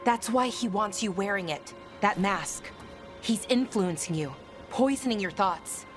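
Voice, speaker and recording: A tender, anxious elven woman, played by an actress in a fantasy game drama. She speaks slowly and haltingly in a mid-range voice, with earnest grief, over loud music. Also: mid-range voice, animated, lightly acted. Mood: guarded, urgent. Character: anxious, tender elven woman grieving her lost brother. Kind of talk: dramatic video game cutscene acting.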